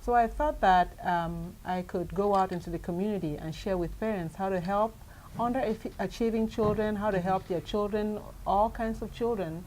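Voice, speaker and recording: A woman's voice: mid-range voice, moderate pace, darkish tone, a little hiss or hum, rough recording. A middle-aged woman from Cameroon, a guest educator explaining her ideas point by point in an interview. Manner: earnest, methodical, explains point by point. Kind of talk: interview answers.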